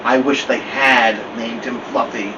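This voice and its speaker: A man's voice: steady tone, measured pace, highs cut off, close to an everyday voice. A man voicing a sulky, grumbling pet cat in a children's storybook. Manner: muttering, grumbling. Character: sulky, scheming male pet cat, muttering grievances. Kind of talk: children's storybook character reading. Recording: faint, distant, low-fi room with noise.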